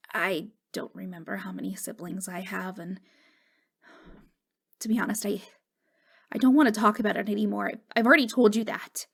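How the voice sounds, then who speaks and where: A woman playing a wistful, wounded ghost girl in an intimate ASMR roleplay, speaking in a halting, fragile, stammering way. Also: mid-range voice, vocal fry, slightly rough. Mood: subdued, sorrowful. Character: wistful, wounded ghost girl, long dead since wartime.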